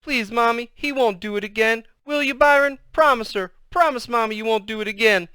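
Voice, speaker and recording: A man plays a frightened little storybook girl in a high, bright voice. The child whines and begs tearfully. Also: brisk, clean tone, no echo, studio quality. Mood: tearful pleading. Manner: pleading, whiny.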